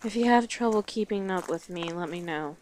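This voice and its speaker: A woman's voice: on the low side, fairly quick, a little mellow, a little hiss or hum, lightly acted, poor audio. A woman playing a brash, teasing female orc guide in a fantasy audio roleplay, with a blunt, sardonic manner. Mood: teasing, bossy.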